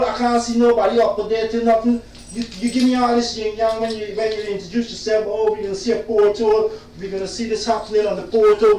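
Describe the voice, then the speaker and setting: Loud, insistent man, high voice. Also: very echoey, distant mic, slight background noise, low-quality recording. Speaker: client complaining about his solicitor. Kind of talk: casual venting monologue.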